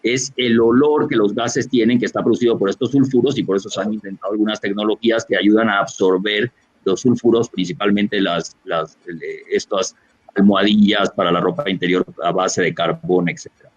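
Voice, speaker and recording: A man's voice: lowish voice, fairly even intonation, fairly quick, breathy edge. Colombian man lecturing, lo-fi call audio. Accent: Colombian, Barranquilla. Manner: explanatory, many fillers and restarts. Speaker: gastroenterologist lecturer. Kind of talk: online medical Q&A answer. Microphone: video call. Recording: dull lo-fi video call audio.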